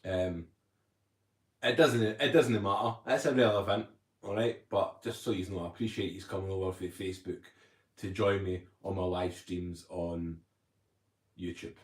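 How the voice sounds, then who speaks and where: A man talks loosely on a livestream, rambling from one thought to the next and reading out viewers' comments as he goes. His voice sits a touch low for a man. The sound carries a noticeable room echo. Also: fairly even intonation, moderate pace. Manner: chatty, rambling, reads viewer comments aloud. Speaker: livestream host. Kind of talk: casual livestream chat. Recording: roomy echo.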